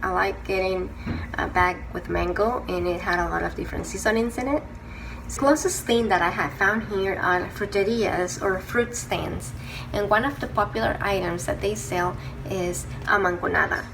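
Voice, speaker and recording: Creaky, lowish-voiced Salvadoran woman narrating. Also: noisy background. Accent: Salvadoran Spanish. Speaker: craft video host, soap maker. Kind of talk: scripted tutorial narration.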